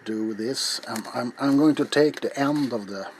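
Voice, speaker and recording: A middle-aged man speaking softly in ASMR style as he demonstrates a craft, halting and often slipping into a whisper. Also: mid-range voice, a little hiss or hum. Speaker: ASMR video maker and host. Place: small cluttered indoor workshop.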